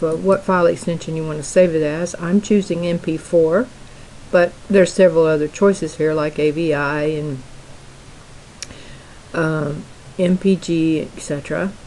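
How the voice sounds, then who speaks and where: A middle-aged woman hosting a software tutorial, explaining each step plainly and slowly with frequent fillers. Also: lowish voice, a little hiss or hum, poor audio. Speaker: tutorial host. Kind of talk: screen-recorded software tutorial.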